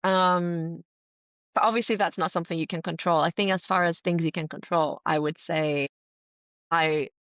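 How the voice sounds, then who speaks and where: A woman from Greece with a lowish voice, chatting as a podcast guest. She is earnest and enthusiastic, leaning on emphatic words in a casual, conversational flow. The sound is close and dry but slightly dull. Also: steady tone, normal speed, fairly bright tone, dry recording. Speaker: podcast guest, writer and entrepreneur. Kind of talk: casual conversational interview answers.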